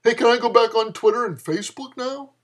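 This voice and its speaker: A man's voice: very high voice, a little fast, a bit bright, voice pushed up. A man voicing a clueless, easily awed young slacker in a dramatic story reading, with dopey, drawling slang. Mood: blank, impressed. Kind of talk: dramatic reading of flash fiction.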